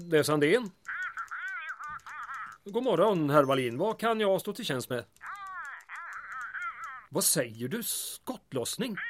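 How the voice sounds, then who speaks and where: A man's voice: high voice, a little hiss or hum. A man playing a small-town mayor in an audio drama, dryly teasing under polite, deferential wording. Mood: polite, puzzled. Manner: dry, deferential wording laced with sarcasm.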